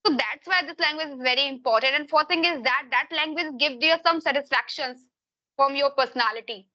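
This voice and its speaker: An Indian woman with a high, bright voice talks through long, searching answers, her English full of small grammar slips. She is a teacher on a casual call, and her audio comes through muffled and lo-fi. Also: a little fast. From Uttar Pradesh, India. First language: Hindi. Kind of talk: casual video-call conversation.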